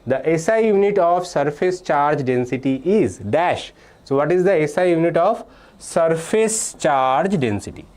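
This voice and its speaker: A man's voice: fairly high pitch, expressive, measured pace, a little mellow. A young man from India teaching a live online physics exam-prep class, reading student answers from chat and repeating key points between "uh"s and "okay"s. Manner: explains step by step, reads student names and answers from chat.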